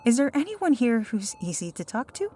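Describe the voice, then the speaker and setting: A woman's voice: on the high side, sing-song, normal speed, mellow. A woman playing an exasperated superhero laid up in hospital in an audio roleplay, speaking with dry sarcasm. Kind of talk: ASMR roleplay audio drama.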